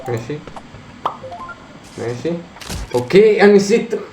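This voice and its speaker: A hoarse man with an Egyptian Arabic accent, commenting on his own gameplay, muttering encouragement to himself and breaking into frustrated outbursts. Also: on the high side, sing-song, a little slow, a little mellow. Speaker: gamer. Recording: echoey room with faint noise.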